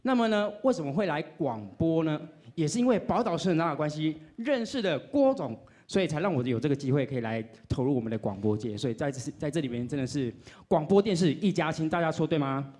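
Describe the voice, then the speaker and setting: A man with a Taiwanese accent presenting on an awards stage in lively, playful banter and announcements. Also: a little high, fast, hardly any pauses. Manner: excitable, playful. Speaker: awards presenter and radio host.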